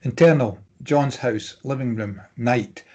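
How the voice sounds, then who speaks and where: Husky man reading stage directions. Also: medium pitch, slow, a little mellow. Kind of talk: scripted narration at a table read.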